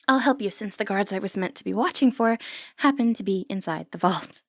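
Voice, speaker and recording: A woman playing a sly, confident, flirty thief in a fantasy roleplay, playful and cheeky with breathy asides. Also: medium pitch, a little fast, no echo, clean studio sound, natural voice. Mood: urgent. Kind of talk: scripted fantasy ASMR roleplay, addressing the listener.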